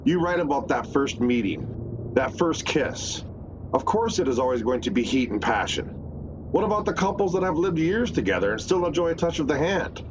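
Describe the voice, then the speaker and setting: A man's voice: on the high side, sing-song, normal speed. A man playing an earnest, hesitant employee secretly in love with his boss in a romantic comedy radio play. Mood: frustrated, earnest. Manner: earnest, sputtering when flustered. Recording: muffled, noisy recording.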